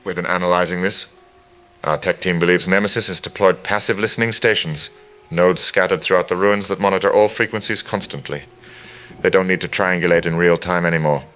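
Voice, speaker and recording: A man playing a somber, analytical survivor radio operator, measured and bleak, in an audio drama. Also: sits low, steady tone, a bit bright. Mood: analytical, grave. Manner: measured, matter-of-fact, bleak. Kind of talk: post-apocalyptic audio drama acting.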